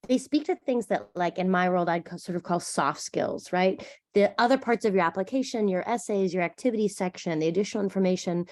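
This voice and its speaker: A woman presenting on college admissions in a webinar, a little stuffy from a cold, chatty and animated. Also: mid-range voice. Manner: chatty, animated, thinks aloud with fillers.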